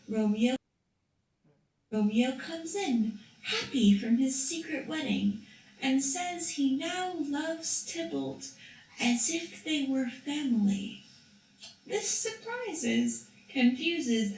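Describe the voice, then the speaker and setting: A woman's voice: high voice, far from the mic. A woman narrating a story for a school puppet show, reading carefully with a few restarts. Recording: echoey room, voice from off-mic.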